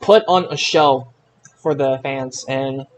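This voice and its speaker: A man hosting a casual podcast results recap, haltingly, often repeating words as he recalls details. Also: medium pitch. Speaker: podcast host.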